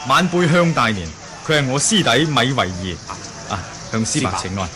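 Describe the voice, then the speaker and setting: An actor playing a courteous martial-arts envoy in a Hong Kong Cantonese radio drama, ceremonious and obliging. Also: male voice, medium pitch, sing-song, normal speed, close to an everyday voice. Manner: ceremonious, polite. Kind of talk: 1980 radio drama acting. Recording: noisy old radio drama recording.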